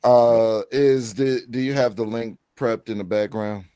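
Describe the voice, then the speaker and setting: A man telling a story at a deliberate pace on a panel talk show. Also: medium pitch, steady tone, dark tone, smooth, no echo. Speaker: panel co-host presenting a clip. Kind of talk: casual panel chat.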